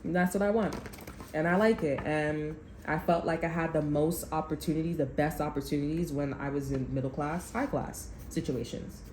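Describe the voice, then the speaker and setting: Low-pitched woman, tarot reader, blunt rambling monologue, roomy echo. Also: fairly even intonation, normal speed, a little mellow. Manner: rambling, blunt, swears when heated. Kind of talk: casual tarot reading monologue. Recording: echoey room with faint background noise.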